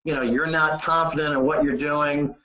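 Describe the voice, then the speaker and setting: A man's voice: fairly high pitch, fairly even intonation, sharp tone, highs cut off. Echoey, conversational man coaching sales. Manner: halting, conversational, often says "you know". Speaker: sales trainer. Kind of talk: informal training talk. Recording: roomy, echoey sound.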